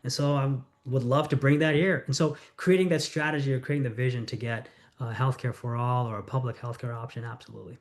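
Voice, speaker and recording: A man's voice: medium pitch, fairly even intonation. A middle-aged man from the United States, a congressional candidate answering interview questions in an earnest, storytelling way. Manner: earnest, storytelling, quick asides.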